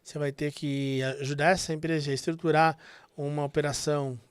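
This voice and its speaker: A man's voice: fairly high pitch, level tone, brisk, clean tone, no room sound. A man hosting a casual business podcast, explaining things conversationally with frequent fillers. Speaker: podcast host.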